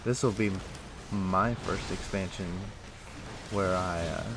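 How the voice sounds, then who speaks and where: A man livestreaming a game, chatting casually and thinking aloud in a rambling, halting way. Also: low voice, background noise. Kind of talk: casual chat while playing an online game. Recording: music playing behind. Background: music.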